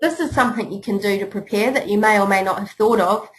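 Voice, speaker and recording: Kiwi woman running a webinar, chatty and encouraging, lots of little ums, middle-pitched voice. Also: fast, slight background noise, low-quality recording. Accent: New Zealand. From New Zealand. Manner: chatty and encouraging, many "you know" and "um" fillers. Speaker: webinar presenter. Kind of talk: business preparedness webinar talk.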